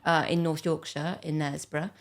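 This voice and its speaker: A young woman with a fairly low voice chats loosely as a podcast guest. She laughs easily and strings her thoughts together with "like" and "you know". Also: flat delivery, fairly quick. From born in Zimbabwe, raised in France. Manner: chatty, laughing, lots of "like" fillers. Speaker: comedian guest. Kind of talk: casual podcast chat.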